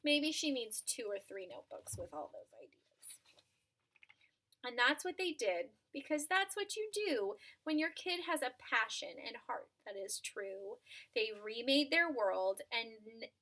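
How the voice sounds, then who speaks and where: A woman narrates a children's storybook in a high, bright voice. Her pacing is slow and her intonation swings widely, with dramatic asides to young listeners. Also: big pitch swings.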